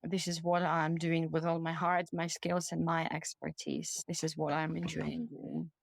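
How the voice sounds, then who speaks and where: A woman from Ukraine speaking English with a Ukrainian accent, answering interview questions earnestly with frequent pauses. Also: deep voice, gravelly, dry recording. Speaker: guest, charity project lead. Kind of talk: interview conversation.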